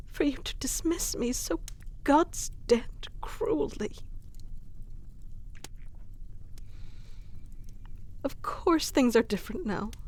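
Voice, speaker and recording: A woman's voice: sits high, exaggerated voice. A woman playing a proud, wounded fantasy queen in an audio roleplay, speaking with earnest, emotionally charged deliberation. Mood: pained, pleading. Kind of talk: dramatic audio roleplay acting.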